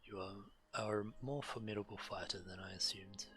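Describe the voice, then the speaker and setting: A man's voice: fairly low pitch, fairly even intonation, normal speed, sharp tone. A man streaming a game and reading quest text aloud. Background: game music.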